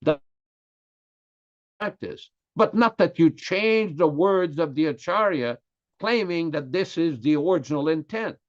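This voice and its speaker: A man's voice: on the high side, expressive. An older man from Quebec with a Québécois French accent, French his first language, giving an earnest, emphatic class on scripture. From Quebec, Canada. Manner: earnest and emphatic, stressing key words slowly. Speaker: religious class speaker. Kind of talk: lecture on scripture. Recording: slightly dull lo-fi audio.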